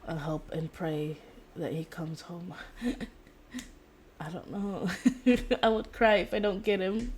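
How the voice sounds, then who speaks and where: Low-pitched woman streamer chatting over noise. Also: fairly even intonation, takes it slowly. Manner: rambling, giggly. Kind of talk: casual livestream chat while gaming.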